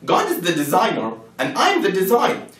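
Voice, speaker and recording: Echoey, animated man arguing his case. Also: sits high, normal speed. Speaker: presenter of a religious argument. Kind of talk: scripted persuasive narration, rhetorical questions. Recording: echoey room, distant from the mic.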